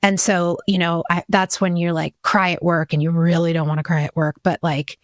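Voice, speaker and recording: A woman's voice: lowish voice, level tone, normal speed, no echo, clean studio sound. A woman in her forties from Hawaii, a podcast guest telling her story in a candid, reflective way with frequent restarts. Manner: reflective, many restarts and "you know".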